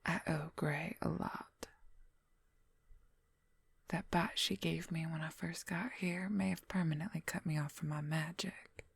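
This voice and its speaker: Breathy, whispering female werewolf lover, acted by a woman. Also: sits low, fairly even intonation, dry recording, heavily acted. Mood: resigned, wry. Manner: slow, intimate, confiding. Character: possessive female werewolf, former lawyer, wry intimate lover. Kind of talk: whispered ASMR roleplay. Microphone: close microphone, dry.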